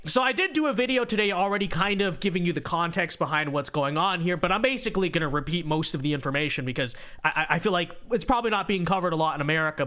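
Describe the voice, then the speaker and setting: A young man hosting a live-stream political commentary show, talking fast and animated with frequent stammered restarts, his voice congested. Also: a little high. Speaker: host.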